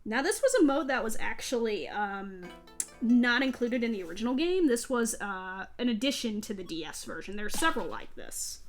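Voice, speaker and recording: Female let's play host, higher-pitched voice, chatty and self-deprecating casual commentary over game music. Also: very animated, normal speed. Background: video game music.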